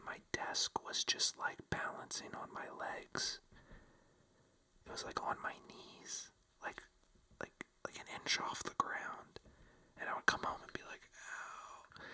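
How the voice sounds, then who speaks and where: A vlogger telling a rambling, casual story in a breathy ASMR whisper, full of fillers like "like" and "you know.". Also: bright, forceful tone. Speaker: vlogger addressing viewers.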